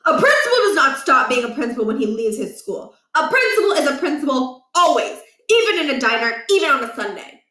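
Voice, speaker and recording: A woman's voice: sits high, big pitch swings, fairly quick, hardly any pauses, bright, forceful tone, echoey room, pitched above the performer's own voice, exaggerated voice. Woman doing a pompous fussy male principal. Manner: pompous, fussy, overly formal. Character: pompous middle-aged male school principal.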